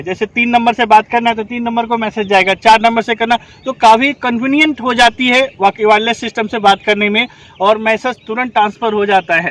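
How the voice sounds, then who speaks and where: A man with a strikingly high voice leads a hands-on training session for rescue volunteers. He explains step by step and keeps checking that the class has understood. The recording is made outdoors. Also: a bit bright, poor audio. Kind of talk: instructional demonstration.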